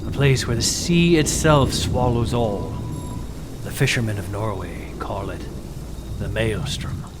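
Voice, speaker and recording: An actor playing an uneasy seafaring captive in an audio drama, speaking with dramatic foreboding. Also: male voice, on the low side, measured pace, very noisy, close to an everyday voice. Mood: ominous. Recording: loud music and storm effects underneath.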